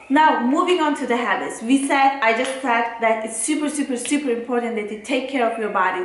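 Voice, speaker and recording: A woman from Turkey with a Turkish accent, giving a casual motivational talk to camera in a blunt, emphatic way, often checking for agreement. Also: high-pitched. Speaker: self-care and productivity vlogger. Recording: very echoey, reverberant room.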